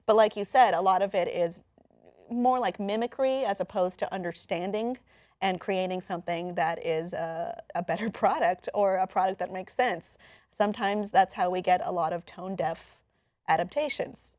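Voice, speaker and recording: A woman's voice: lowish voice, moderate pace, darkish tone, dry recording, studio quality. A woman hosting an online entertainment news show, explaining things in a casual, conversational way. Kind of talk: casual news chat with a co-commentator.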